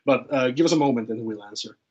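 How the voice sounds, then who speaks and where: A man's voice: mid-range voice, quick pace, a little mellow. A man, speaking informally in a webinar discussion and often repeating words as he thinks. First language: Finnish. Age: late 20s.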